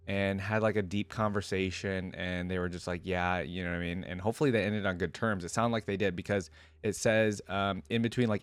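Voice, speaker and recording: Low-voiced man doing casual reaction talk. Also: no room sound. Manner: informal, slangy, thinking aloud. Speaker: YouTube reaction host. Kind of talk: casual reaction talk mixed with reading lore aloud.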